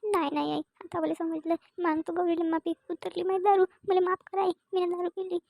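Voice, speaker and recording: A woman plays a hot-headed young cartoon son with a high, bright-edgeless, mellow voice. He whines and repeats himself, with little swing in pitch. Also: level tone, brisk, creaky, no echo, clean studio sound. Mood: pleading, remorseful. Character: hot-headed drunk son, sulky then pleading. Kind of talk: amateur cartoon comedy dubbing.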